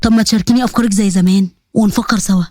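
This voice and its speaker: Audio-story suitor: protective young Egyptian male, lowered pitch, rapid delivery, dry sound female performer. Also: fairly even intonation, warm tone, dry recording, lightly acted. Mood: earnest, pleading. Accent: Egyptian Arabic. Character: young man, protective, earnest, lovelorn suitor.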